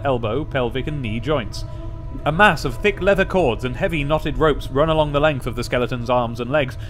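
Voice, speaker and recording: A young British man giving casual game commentary and reading aloud, chatty, rambling and wry, close on a desk mic. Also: mid-range voice. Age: young adult. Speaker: let's play host. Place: small room at home or office, indoors. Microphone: desk microphone with pop filter, close.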